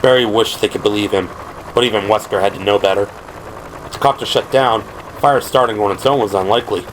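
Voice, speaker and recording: A man's voice: lowish voice, a little fast, fairly bright tone, a little hiss or hum, poor audio. A man giving dramatic scripted narration for an audio drama. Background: helicopter and vehicle sound effects.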